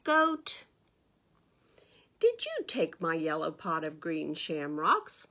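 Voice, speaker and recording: Woman acting out an earnest, animated storybook rabbit. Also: sits low, slow pace, voice pushed down, heavily acted. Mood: worried. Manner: expressive, exclaiming, plaintive. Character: earnest, anxious rabbit, easily dismayed. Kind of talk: children's picture-book read-aloud.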